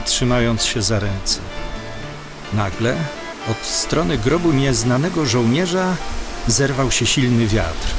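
A man narrating a scripted audio drama as its storyteller. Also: mid-range voice.

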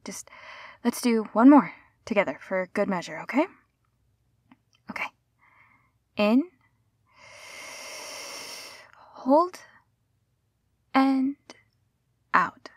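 A woman's voice: fairly high pitch, expressive, slow, pauses often, dry recording. A woman playing a caring, flustered young rescuer in an intimate audio roleplay, speaking in a hesitant, stammering, soothing way. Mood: calm, reassuring.

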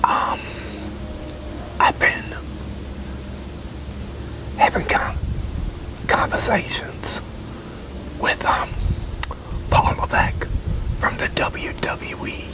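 A man in his sixties doing an ASMR roleplay as a promoter in a breathy, halting whisper. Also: rough recording. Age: 60s. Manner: halting, with pauses and one-sided conversation. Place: open covered outdoor picnic area with metal tables.

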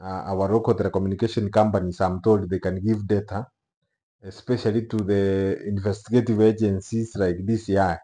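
Mellow, low-voiced Kenyan man. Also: flat delivery. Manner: halting, pausing between short phrases. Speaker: YouTube news commentator. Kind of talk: unscripted news commentary to camera. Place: small office room, indoors.

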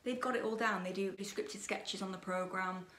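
A young woman in her twenties chatting while she does her makeup at a dressing table, gossipy and opinionated, her voice a bit croaky. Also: medium pitch, steady tone, normal speed, fairly bright tone, roomy sound, distant mic. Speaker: beauty vlogger. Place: bedroom dressing table with lit mirror, indoors.

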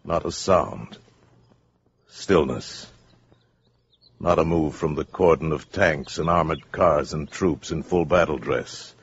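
An actor as a grave, portentous American narrator of a 1950s radio drama. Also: male voice, rumbling low, takes it slowly, long pauses, slightly rough, heavily acted. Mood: hushed, ominous. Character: grave, ominous male radio-play narrator. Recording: muffled 1950s radio, loud music behind.

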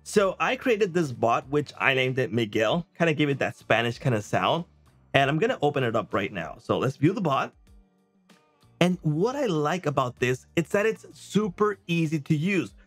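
Casual man demoing software over background music. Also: on the high side, sing-song, normal speed. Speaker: presenter of software review videos. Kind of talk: casual software demo to camera. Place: small indoor home studio.

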